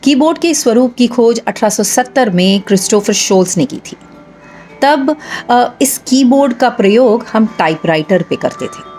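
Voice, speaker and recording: A woman hosts an inspirational storytelling piece. Her voice sits fairly high, and she speaks in a measured way, addressing listeners directly. Music plays faintly behind her. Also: lively intonation, darkish tone. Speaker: storyteller and host. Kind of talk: inspirational storytelling narration with a moral. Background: background music.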